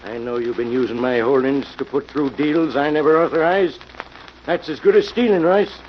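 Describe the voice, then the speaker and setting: An actor playing an irascible, suspicious old sea captain in a radio mystery, domineering and scolding. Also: male voice, medium pitch, normal speed, close to an everyday voice. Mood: angry, commanding. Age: older. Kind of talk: 1940s radio mystery acting. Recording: dull, noisy old radio recording.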